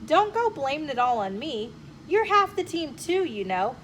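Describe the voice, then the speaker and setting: An eager little schoolboy from a storybook, acted out by a woman reader, pitched high and lively. He is stubborn and insistent in how he talks. Faint noise sits behind the voice. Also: moderate pace. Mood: indignant. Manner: pleading, insistent. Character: eager, stubborn small schoolboy who wants to play.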